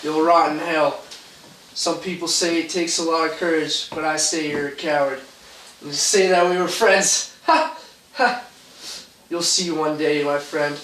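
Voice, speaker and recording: A man's voice: a little high, a bit bright. Man acting bitter fired worker, echoey and distant. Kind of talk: amateur one-act stage play. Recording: echoey, distant room with noise.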